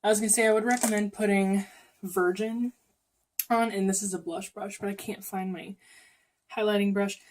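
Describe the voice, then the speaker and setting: A woman's voice: mid-range voice, steady tone, normal speed, darkish tone, a little hiss or hum. Sniffly young woman rambling casually. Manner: rambling, many ums and self-corrections. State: sniffly, coming down with a cold. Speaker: beauty vlogger. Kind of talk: casual makeup tutorial chat.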